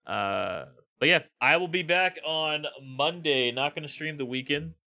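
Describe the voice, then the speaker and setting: A man's voice: fairly high pitch, measured pace, sharp tone, faint music behind. A young man streaming a retro video game, commenting casually, with frustrated swearing. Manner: frustrated, swearing, laid-back. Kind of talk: casual live gameplay commentary.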